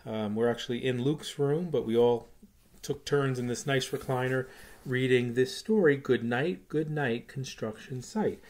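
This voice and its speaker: A middle-aged man reading a rhyming children's picture book aloud in a sing-song way, drawing out words. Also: mid-range voice. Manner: sing-song, drawn-out words with soft hushed goodnights. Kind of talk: expressive read-aloud of a rhyming children's bedtime story. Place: small room at home.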